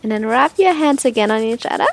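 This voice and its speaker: A woman guiding a meditation in a soft, breathy, unhurried manner, with gentle instructions and long pauses. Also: on the high side, lively intonation, poor audio. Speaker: meditation guide.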